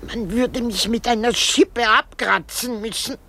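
A woman's voice: fairly high pitch, unhurried, pushed and bright, exaggerated voice. An actress playing an old, self-pitying, hysterical married woman in a radio drama, rambling theatrically with sighs and exclamations. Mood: lamenting, self-pitying. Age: older.

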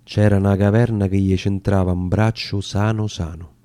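A man's voice: low-pitched, level tone, normal speed, warm tone, clean tone, no echo. A man with a Roman accent giving a solemn, theatrical recitation of narrative dialect poetry. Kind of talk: dramatic recitation of narrative verse.

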